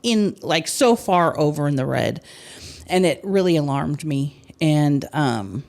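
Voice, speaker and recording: A woman hosting a podcast, chatting candidly and with animation, her loudness swinging up and down. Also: low-pitched, unhurried, gravelly. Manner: candid, animated, swings between loud and soft. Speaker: podcast host. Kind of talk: casual chat.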